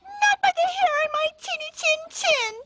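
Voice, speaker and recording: A woman voicing the little pigs in a children's puppet show, small and cheeky, squeaky and taunting. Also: normal speed, heavy background noise. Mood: defiant. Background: loud music.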